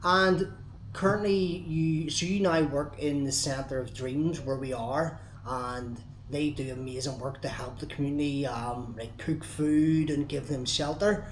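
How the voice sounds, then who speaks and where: A man's voice: on the high side, unhurried. Belfast male travel vlogger, upbeat presenter, walking and talking outside, faint traffic hum. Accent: Belfast Northern Irish. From Northern Ireland. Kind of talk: travel vlog narration and interview. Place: outdoors in a city.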